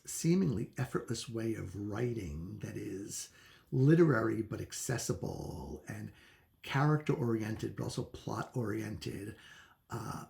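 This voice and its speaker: A man reviewing books in a casual, unscripted way, enthusiastic and opinionated, often pausing to search for words. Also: lowish voice, gravelly, darkish tone. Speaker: book reviewer.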